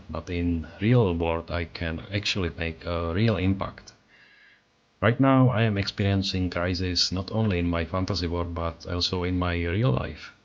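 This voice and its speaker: A man's voice: low voice, muffled recording. A man with a Czech accent, whose first language is Czech, narrating a scripted voice-over as an artist in an earnest, reflective, self-critical way. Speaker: artist and channel creator.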